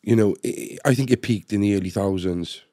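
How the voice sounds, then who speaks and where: A man hosting a casual interview, feeling his way haltingly through his questions. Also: on the low side, mellow, no room sound, clean studio sound. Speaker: podcast host.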